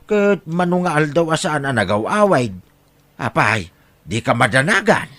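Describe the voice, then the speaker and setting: Man acting as stern, expressive Ilocano father, bright-voiced. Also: fairly high pitch, a little slow, no room sound. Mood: concerned, reproachful. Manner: earnest, admonishing, emphatic. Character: stern, worried older father of a struggling rural family. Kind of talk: Ilocano radio drama acting.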